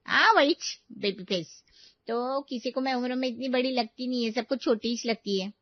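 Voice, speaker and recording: A woman's voice: fairly high pitch, normal speed, no room sound, lightly acted. Woman acts young nanny for a cartoon story; breezy, cheeky, slangy, dry and a touch muffled. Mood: cheeky, pleased. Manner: slangy, garbles English words.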